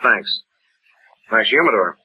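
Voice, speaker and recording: An actor in an old-time radio drama playing a troubled, jumpy baseball umpire, halting and terse. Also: male voice, lowish voice, expressive. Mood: guarded. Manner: halting, terse, repeats himself. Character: troubled, jumpy male baseball umpire who has lost his nerve. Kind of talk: old-time radio drama acting and first-person narration. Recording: dull, noisy old radio recording.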